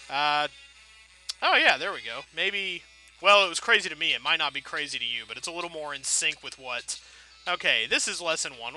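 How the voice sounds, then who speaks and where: Man, very bright forceful voice, slightly high pitch, wry wordy let's play commentary, self-deprecating. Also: no room sound. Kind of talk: casual rambling video game commentary.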